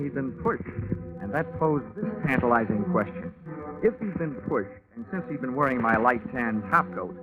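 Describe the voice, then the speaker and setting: An actor playing a wry, hard-boiled American insurance investigator, narrating with deadpan wisecracks in 1940s radio drama style. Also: male voice, medium pitch, close to an everyday voice. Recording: muffled vintage radio recording, music behind.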